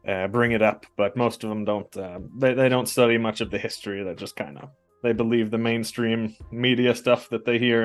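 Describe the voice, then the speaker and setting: A young man who grew up between two countries, talking as a guest in a casual conversation, relaxed and halting with many restarts. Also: mid-range voice, clean tone. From Norway and the US.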